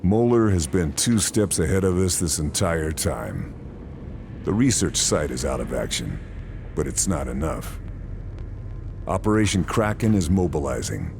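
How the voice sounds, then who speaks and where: Gritty ww2 game sniper, super deep voice, terse n serious, determined briefing narration. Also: male voice, big pitch swings, creaky, darkish tone, slight background noise, heavily acted. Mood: grim, determined. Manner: terse, grave, deliberate phrasing. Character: grim, resolute male wartime sniper operative.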